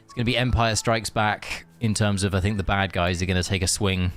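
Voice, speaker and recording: A man's voice: fairly low pitch, fairly even intonation, brisk, a bit bright, faint music behind. A man chatting casually on a game live stream, rambling and answering viewers as he plays. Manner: rambling, chatty, reads chat aloud.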